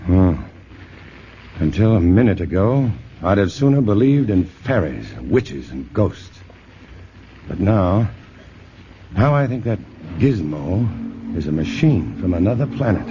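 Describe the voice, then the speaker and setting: An actor playing a calm, earnest male scientist in a 1950s radio drama. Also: sits low, takes it slowly, pauses often, heavily acted. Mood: grave. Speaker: lead actor. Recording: hissy lo-fi 1950s radio broadcast.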